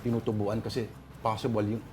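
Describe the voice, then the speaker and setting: A man's voice: lowish voice, level tone, quick pace, a little mellow. A man with a Filipino accent giving casual, musing and explanatory news commentary as a talk show co-host. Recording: faint background ambient noise.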